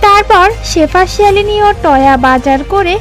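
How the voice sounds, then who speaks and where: A woman's voice: very high voice, normal speed, soft background music. A woman narrating a children's cartoon story from a script.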